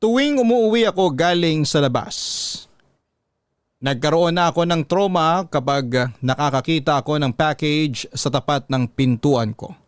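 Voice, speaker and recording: A man narrating a first-person confession as scripted radio storytelling, measured and earnest, recorded close on a studio mic. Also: fairly high pitch, smooth, dry recording.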